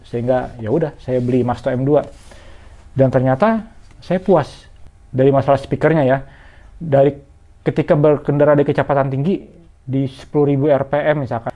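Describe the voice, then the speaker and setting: A man's voice: mid-range voice, warm tone, lo-fi sound. A man talks viewers through a casual product review in a conversational, explanatory way. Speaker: motorcycle gear reviewer.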